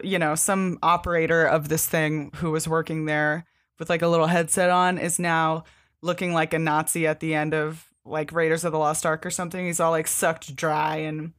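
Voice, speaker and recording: A woman with a fairly low voice talks as a podcast co-host. Her delivery is informal and keen, with casual swearing, as if chatting about comics with a friend. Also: fairly bright tone. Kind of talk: casual comic book discussion.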